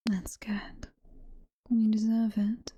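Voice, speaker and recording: A British woman voicing a sweet, motherly, possessive demon girlfriend in a slow, cooing, breathy whisper for intimate ASMR roleplay. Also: a little high, monotone, warm tone. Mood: adoring, soothing. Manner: slow, cooing, full of pet names.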